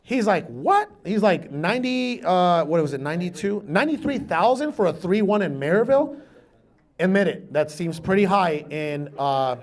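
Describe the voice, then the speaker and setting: A man at a meetup telling a story to a live audience, energetic and rambling, with restarts and questions thrown to the crowd. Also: high-pitched, normal speed. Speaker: guest speaker at a real estate meetup.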